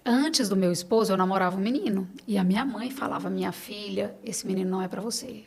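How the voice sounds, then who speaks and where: A woman's voice: medium pitch, fast, gravelly. A Brazilian woman in her late thirties speaking as a podcast guest, conversational and emphatic, advising listeners directly with reassurance. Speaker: clinic owner advising on supplements. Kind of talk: conversational interview.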